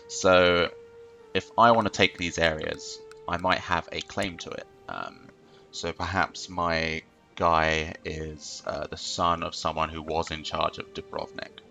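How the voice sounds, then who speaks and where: A man's voice: extremely low pitch, vocal fry, pushed and bright. A man hosting a casual gameplay tutorial, explaining loosely with lots of fillers at a desk microphone. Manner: rambling, filler-heavy explaining. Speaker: let's play host.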